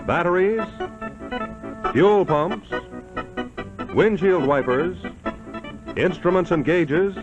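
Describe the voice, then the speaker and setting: A dull vintage broadcast with music clearly behind the voice. A male 1940s announcer delivers sponsor copy emphatically, with swinging, animated intonation. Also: a little high.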